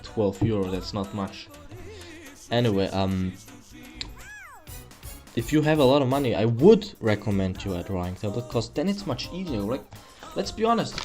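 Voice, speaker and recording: A man's voice: mid-range voice, a little mellow. Young German-accented man, rambling tutorial. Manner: rambling, self-correcting, swears casually. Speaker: art tutorial host. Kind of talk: casual screen-recorded drawing tutorial. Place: small room at home, indoors.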